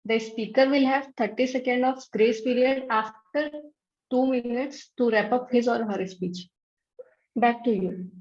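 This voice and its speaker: A woman's voice: fairly high pitch, fairly even intonation, a little mellow. Woman reading timing rules, lo-fi. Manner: brisk, procedural. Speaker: meeting timer. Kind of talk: reading guidelines. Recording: dull lo-fi online call audio.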